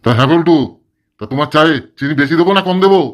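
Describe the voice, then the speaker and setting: A man's voice: fairly high pitch, rapid-fire, close to an everyday voice. A man voicing a chatty, fussy, placating village tea seller in a comedy cartoon. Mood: cheerful, placating. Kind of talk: exaggerated comedy cartoon dialogue.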